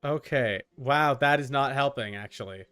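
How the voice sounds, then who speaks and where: A man's voice: medium pitch, normal speed, fairly bright tone, clear, resonant tone, faint music behind. A man giving casual live gaming commentary as a streamer, informal, with muttered asides and mild swearing. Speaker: video game streamer.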